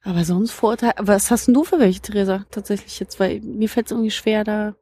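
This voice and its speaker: A woman's voice: medium pitch, fairly even intonation, fast talker, dark tone, breathy edge. A woman from East Berlin hosting a casual podcast discussion outdoors, reflective and given to long winding sentences. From East Berlin, Germany. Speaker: podcast host. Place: outdoors, open-air recording.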